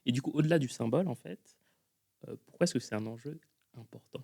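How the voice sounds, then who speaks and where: Very low, gravelly, rapid woman interviewer. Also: mellow. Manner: short questions, frequent agreeing backchannels. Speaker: podcast interviewer. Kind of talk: conversational interview.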